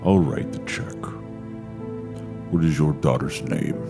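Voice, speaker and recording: An actor playing a suave, sinister cannibal gentleman in a horror audio drama, gravelly, theatrical and mockingly polite, savouring each cruel word. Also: extremely low pitch, takes it slowly, over music, full character voice. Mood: softened, smug. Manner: theatrical, mock-polite, savouring his words.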